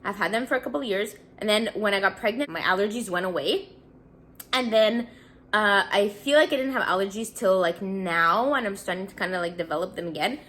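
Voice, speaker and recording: A woman's voice: medium pitch, fairly quick, a bit bright. A woman around thirty from Montreal, congested and sniffling, chatting casually and rambling with many fillers while doing her makeup. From Montreal, Canada. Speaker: beauty vlogger. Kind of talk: casual get-ready-with-me chat.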